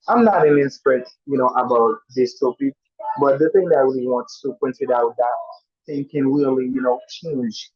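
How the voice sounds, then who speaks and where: A man's voice: mid-range voice, husky, no echo. A man whose first language is Haitian Creole, a student in an online speaking class, giving a casual answer with lots of "you know" fillers and restarts. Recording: muffled online call audio.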